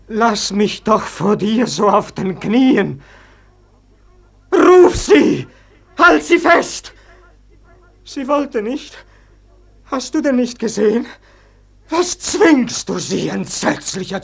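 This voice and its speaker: An actor playing an anguished, self-reproaching son in a theatrical verse radio drama, moving between desperate cries and spent whispers. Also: male voice, sits high, gravelly, full character voice. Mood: pleading, then desperate, frantic.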